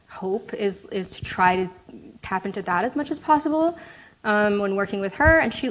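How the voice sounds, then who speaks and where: A woman's voice: medium pitch, low-quality recording. Hesitant woman answering questions. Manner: hesitant, many fillers and restarts. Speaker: speaker answering audience questions. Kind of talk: casual Q&A at a talk.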